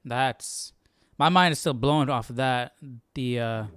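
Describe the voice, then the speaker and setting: A man's voice: medium pitch, slow pace, a bit bright, smooth, no echo, clean studio sound. A man hosting a live Bible study, reacting casually and incredulously, often repeating himself.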